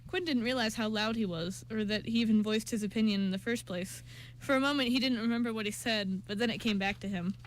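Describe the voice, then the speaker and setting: A woman's voice: a little high, brisk, rough recording. A woman reading scripted narration for an amateur radio play, occasionally stumbling over words.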